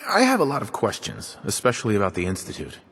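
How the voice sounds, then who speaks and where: A confident male agent, the hero of a video game. He has a low voice and a direct, plain-spoken, questioning delivery. Long reverb trails his lines. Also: wildly swooping pitch, fast talker, fairly bright tone, husky, heavily acted. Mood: curious, friendly. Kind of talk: video game character dialogue.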